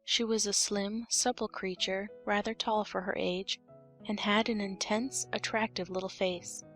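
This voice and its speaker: A woman narrates a storybook in a scripted reading style. Her voice sits at an average pitch. Faint music plays behind her. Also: fairly even intonation, a little slow. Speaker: audiobook narrator.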